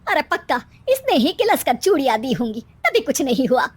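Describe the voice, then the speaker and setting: Woman acting a sharp-tongued, nagging older mother-in-law. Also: sits high, big pitch swings, fairly quick, no room sound. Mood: indignant.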